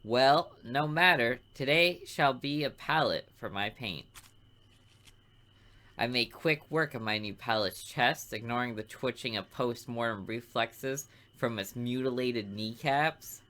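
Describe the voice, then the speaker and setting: A man's voice: mid-range voice, bright, forceful tone. A man voicing a smug, savoring serial killer. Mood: morbid relish. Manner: deliberate, savoring, theatrical. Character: smug, artistic serial killer narrating with morbid relish. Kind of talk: dramatic reading of visual novel narration.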